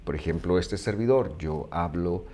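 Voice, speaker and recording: A man who speaks Spanish as his first language, teaching a Bible study in a measured way with frequent pauses and restarts. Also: deep voice, dark tone, slight background noise.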